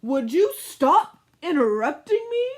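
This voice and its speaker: A woman's voice: sits high, sing-song, voice pushed up. A woman playing an exasperated storyteller who corrects her wayward characters in a comic children's read-aloud. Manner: deliberate, exasperated.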